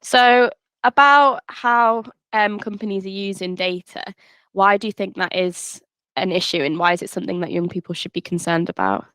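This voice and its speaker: A woman interviewer asking polite, measured questions with brief fillers. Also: medium pitch, a bit bright, clean tone, no room sound.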